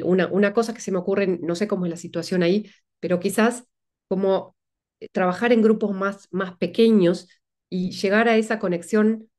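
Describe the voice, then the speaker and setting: A woman's voice: medium pitch, darkish tone. A woman, an invited speaker from Latin America, answering audience questions and thinking aloud with many restarts. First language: Spanish.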